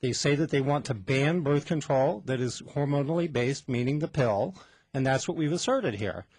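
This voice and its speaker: A man with a husky voice in a dry, close sound argues politics on the radio. He circles back over his phrases and restarts them as he debates off the cuff. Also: mid-range voice, expressive, normal speed, darkish tone, dry recording. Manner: hesitant, repeats and restarts phrases. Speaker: political guest on a radio call-in show.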